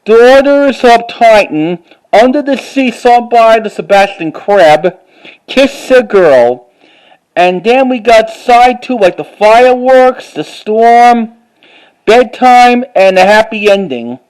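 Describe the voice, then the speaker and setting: A man with a halting, word-repeating way of talking, doing a casual show-and-tell like an enthusiastic collector. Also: extremely high pitch, expressive, darkish tone, clear, resonant tone, poor audio. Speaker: vlogger.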